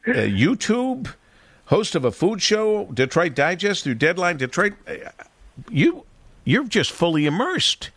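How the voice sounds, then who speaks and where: Male radio host, animated pitch, dry close studio sound. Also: slightly rough, no room sound. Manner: measured, introducing the guest. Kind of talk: radio interview. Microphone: studio mic.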